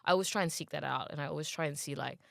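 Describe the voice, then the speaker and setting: A woman's voice: low-pitched, very fast, creaky, no echo, studio quality. A young woman from Malaysia with a Malaysian accent, a guest in a casual interview who talks in a chatty way with many fillers, thinking aloud.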